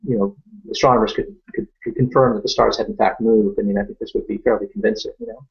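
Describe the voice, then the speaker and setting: A middle-aged French Canadian man, a native French speaker, hosting a live Q&A and answering viewer questions in a thoughtful, unhurried way. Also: lowish voice, big pitch swings, gravelly, muffled recording. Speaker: Q&A host.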